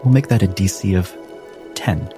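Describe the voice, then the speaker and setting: A man narrating a tabletop game as game master, in deliberate, dramatic phrases with atmospheric pauses, improvising the scene. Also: sits low. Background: music under the voice.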